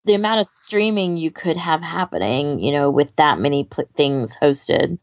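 A woman's voice: low voice, expressive, normal speed. A woman co-hosting a podcast in casual, conversational discussion. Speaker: podcast co-host. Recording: dull, lo-fi audio.

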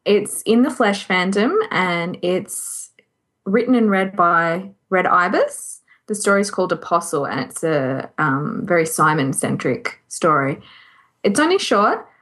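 A woman's voice: fairly low pitch, animated, a little slow, a bit bright. A woman co-hosting a podcast, casually sharing recommendations in a giggly, self-amused way. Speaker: podcast co-host. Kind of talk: casual chat, giving recommendations.

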